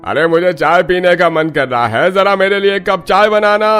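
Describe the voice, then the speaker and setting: Man voicing a high-pitched cartoon family man. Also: moderate pace, a bit bright, smooth. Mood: teasing. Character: easygoing man of the household, home from office. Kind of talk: Hindi cartoon story dialogue. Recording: music under the voice.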